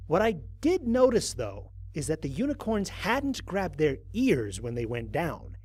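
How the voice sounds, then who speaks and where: A man playing a methodical, wry human explorer, narrating a mission log for an audio drama in a measured, dry, formal way. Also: fairly high pitch, slightly rough, dry recording, heavily acted. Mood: measured, analytical. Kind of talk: scripted first-person audio drama narration.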